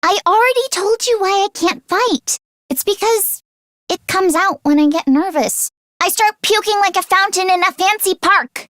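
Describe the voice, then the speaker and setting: A woman voicing an anxious, self-deprecating teenage girl mechanic in a video game, expressive and earnest. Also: very high voice, normal speed, dry recording. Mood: nervous, embarrassed. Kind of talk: video game character voice acting.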